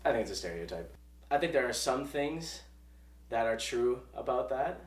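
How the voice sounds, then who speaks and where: A man's voice: medium pitch, big pitch swings, moderate pace, echoey room, recorded from a distance. A man in his twenties giving wry, self-deprecating interview answers. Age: 20s. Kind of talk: church video interview.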